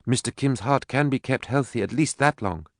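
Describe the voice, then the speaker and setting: A man's voice: mid-range voice, animated, moderate pace, no room sound, studio quality, pitched above the performer's own voice, lightly acted. A man voicing an earnest, persistent young woman, a caring daughter, with an eager, insistent manner.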